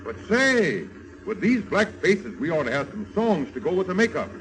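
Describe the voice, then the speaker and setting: An actor playing a bossy, smooth-talking medicine show kidnapper in a coaxing showman's manner, in 1930s radio serial style. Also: high voice, sing-song, moderate pace, a bit bright, slight background noise, heavily acted, low-quality recording. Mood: jovial, scheming. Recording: old radio serial recording.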